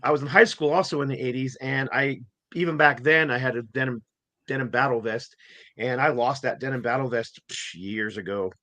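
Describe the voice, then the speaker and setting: A man in his fifties with a bright, forceful voice, chatting casually on a talk show. He tells rambling, excited stories and restarts often. His voice keeps dropping in and out. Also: medium pitch, brisk. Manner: rambling, enthusiastic storytelling with restarts. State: voice going in and out. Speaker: podcast co-host. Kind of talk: casual chat.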